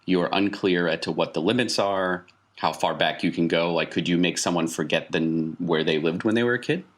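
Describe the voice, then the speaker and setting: A man runs an improvised tabletop game as its game master. His voice is fairly low as he narrates and explains to the players. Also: fairly quick.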